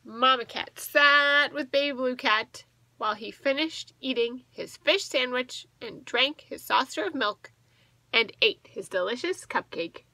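A woman in her thirties reading a storybook aloud to children as a teacher, expressive and asking the listeners questions. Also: high voice, measured pace, no echo, rough recording. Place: small indoor room with bookshelves.

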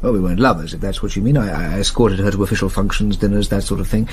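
An actor as a courteous English lawyer, background ambience. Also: male voice, low voice, quick pace, a little hiss or hum, natural voice. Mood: guarded, polite. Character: courteous, cautious gentleman lawyer. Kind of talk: radio drama acting.

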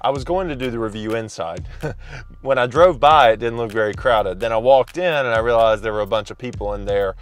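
Man, 30s, loud upbeat vlogger, phone at arm's length in a parked car. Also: medium pitch, fairly quick, faint music behind. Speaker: coffee shop reviewer. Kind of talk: casual vlog review. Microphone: phone camera at arm's length.

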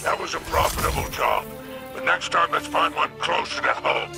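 A man playing a sly, greedy alien crime boss in a video game, with a gravelly voice and a sardonic drawl. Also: fairly high pitch, fairly even intonation, moderate pace, natural voice. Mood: dismissive, smug. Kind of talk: scripted game character dialogue. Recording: music under the voice.

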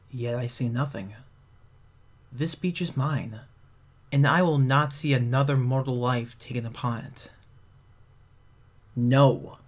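Brief: ancient cosmic watcher, male, played by a man, mid-pitched, unhurried, grave, riddling delivery. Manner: slow, solemn, cryptic pronouncements. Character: ancient all-seeing cosmic being, male. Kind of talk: fan audio drama voice acting.

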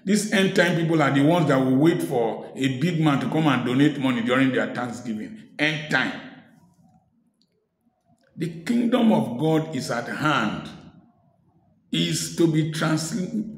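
A middle-aged man preaches straight into a close phone camera as he sits in a room at home. His voice sits a little high for a man, and the room gives it a noticeable echo. He hammers his points home by repeating words and mixes in pointed humor. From Africa. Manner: emphatic, repeats key words, mixes teaching with sharp humor. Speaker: preacher and speaker. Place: indoor room at home, seated in office chair.

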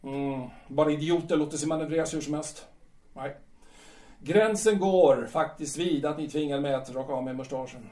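A man's voice: fairly high pitch, dark tone, natural voice. A man playing a bitter, proud, evasive bohemian playwright in an audio drama, with a hesitant, grumbling delivery. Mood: reluctant, sulky. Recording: echoey room.

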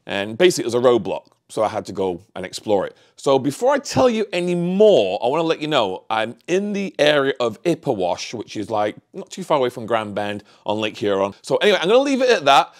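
A man's voice: medium pitch, huge pitch swings, fairly quick, few pauses. A middle-aged man, a vlogger, chatting casually and candidly to camera in a rambling way. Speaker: vlogger, channel host. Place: small home office, indoors.

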